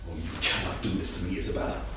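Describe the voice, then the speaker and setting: A man's voice: low-pitched, fairly even intonation, racing, bright, forceful tone. An actor in a staged play reading, playing a domineering, volatile husband and businessman with an emphatic delivery. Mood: furious, threatening. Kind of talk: staged reading, theatrical dialogue. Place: indoor theatre at a staged reading. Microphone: distant room microphone. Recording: distant, very echoey theatre room, faint noise.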